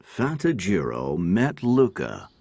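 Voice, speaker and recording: American male narrator, very animated intonation, low gravelly voice, slow storybook reading, dry sound. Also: no echo. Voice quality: creaky, deep. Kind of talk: scripted storybook narration for learners.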